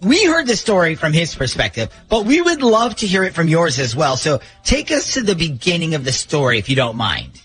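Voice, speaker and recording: A man's voice: fairly high pitch, very animated, a little fast, hardly any pauses, music in the background. An American man hosting a radio show, talkative and joking as he banters and runs phone calls. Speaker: radio host running a prank call segment.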